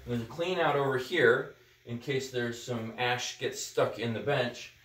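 A man's voice: mid-range voice, a little slow, a bit bright. A middle-aged man explaining hands-on as he takes apart a cob heater indoors, rambling and full of ums and uhs. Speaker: natural builder demonstrating a heater. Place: indoors beside a cob heater in a barn workshop. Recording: roomy indoor echo.

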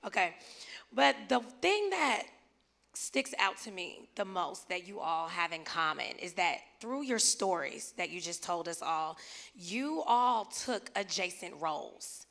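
A woman's voice: lowish voice, lively intonation, measured pace, vocal fry, fairly bright tone. A woman moderating a live conference panel on stage with a handheld mic, playful and teasing as she talks to guests and the crowd. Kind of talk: lively live panel hosting.

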